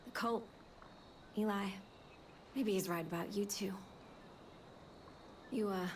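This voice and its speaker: An actress playing a weary, hardened young resistance fighter in a video game, talking in a blunt, halting, subdued way. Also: female voice, mid-range voice, creaky, slight background noise. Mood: awkward gratitude. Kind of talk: understated video game drama acting.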